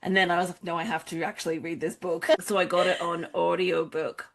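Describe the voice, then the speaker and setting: Woman podcast cohost w a lowish voice, jokey casual chat, tells it like a story. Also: brisk, creaky. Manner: animated storytelling with self-quotes, joking. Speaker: book club podcast co-host.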